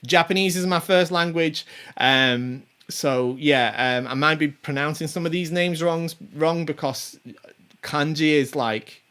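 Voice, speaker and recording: A man's voice: on the high side, unhurried, fairly bright tone, smooth. Rambling, chatty man in his forties. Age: 40s. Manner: rambling, many ums and restarts; chatty, chuckles to himself. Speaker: show host. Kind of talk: casual commentary on tournament players.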